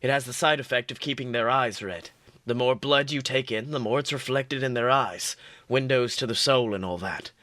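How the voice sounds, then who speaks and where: A man's voice: mid-range voice, moderate pace, bright, forceful tone, dry recording, voice pushed down, close to an everyday voice. A man reading as a smug demon king, Crowley. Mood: explaining. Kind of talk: reading fan fiction aloud.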